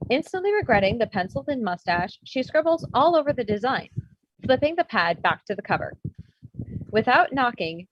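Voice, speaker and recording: Female narrator, very varied pitch, reading stage directions at a table read over a slightly noisy mic. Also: dull audio. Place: indoors, remote virtual table read.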